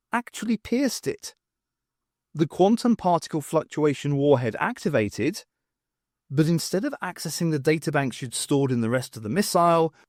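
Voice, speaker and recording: A man voicing a brisk, confident woman adventurer in an audiobook, wry and emphatic. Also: fairly high pitch, darkish tone, dry recording, studio quality. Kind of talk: audiobook character voice.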